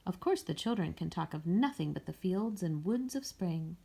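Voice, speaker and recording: A woman voicing a knowing, warm-hearted fortune-teller in a storybook, with a musical, teasing way of speaking. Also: lowish voice, animated, normal speed, gravelly, husky, exaggerated voice. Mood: wistful, chatty. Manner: wailing, lilting, teasing.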